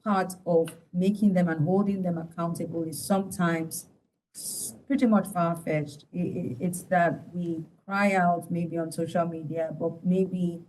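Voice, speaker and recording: A Nigerian woman giving explanatory interview commentary as an analyst, deliberate and pausing mid-sentence. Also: fairly low pitch, fairly even intonation, a little mellow, a little hiss or hum, poor audio. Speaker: studio guest analyst.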